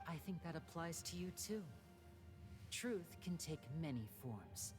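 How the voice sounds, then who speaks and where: Low-voiced, composed young male magician. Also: sing-song. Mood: grave, probing. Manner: measured, deliberate, theatrical. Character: young male stage magician, composed, theatrical, quietly cutting. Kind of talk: video game voice acting. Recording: music clearly behind the voice.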